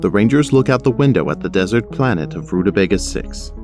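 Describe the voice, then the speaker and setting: Low voiced guy narrating an audio drama, faint music under him. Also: expressive, brisk.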